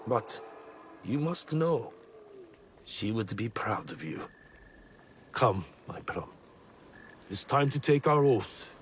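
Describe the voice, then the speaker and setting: A middle-aged shinobi father speaks slowly and reflectively. He is solemn yet tender, a clan elder in a dramatic game, with ambient sound behind his medium-low voice. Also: very animated, slight background noise. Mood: tender, grave. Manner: measured, reflective. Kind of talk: dramatic video game acting.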